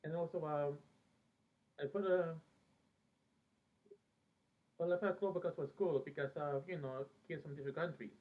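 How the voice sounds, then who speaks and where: Halting man, thin phone-like audio. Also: on the high side, fairly even intonation. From Peru, later lived in America. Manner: very hesitant, halting, pausing often mid-sentence. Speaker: fan vlogger. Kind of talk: casual rambling reminiscence about a TV show. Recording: thin phone-like band-limited sound.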